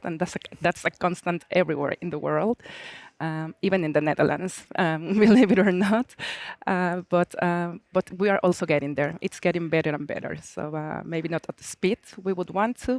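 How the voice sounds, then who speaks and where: A woman's voice: lowish voice, dry recording. Mexican-accented woman on a conference panel. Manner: hesitant, many fillers, warm and open. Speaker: panelist, supply chain manager. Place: conference stage.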